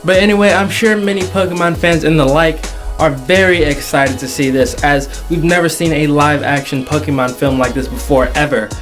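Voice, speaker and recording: High-voiced young man hosting over music. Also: fairly even intonation, fairly bright tone. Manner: jokey, slangy, drawn-out emphasis. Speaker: video host. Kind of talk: casual entertainment commentary. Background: background music.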